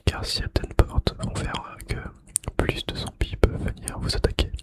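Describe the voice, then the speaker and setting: A man whispering in a breathy, unvoiced voice with mouth sounds, in an ASMR style, softly repeating phrases. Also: moderate pace. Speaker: ASMR video creator and host.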